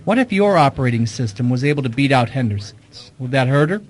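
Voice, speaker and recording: A man's voice: medium pitch, expressive, quick pace, natural voice. A man acts out a dogged gumshoe in a radio detective drama. He is casual and dryly witty, with a mid-range voice and a probing way of asking and narrating. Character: wisecracking, dogged male private detective. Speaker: lead actor in an audio mystery drama.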